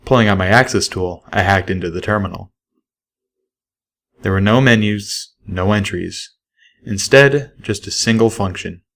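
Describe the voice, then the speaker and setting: A man reads first-person fiction as an audiobook narrator. His voice sits on the low side, and the delivery is scripted storytelling. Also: a little slow, creaky, fairly bright tone. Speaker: audiobook reader.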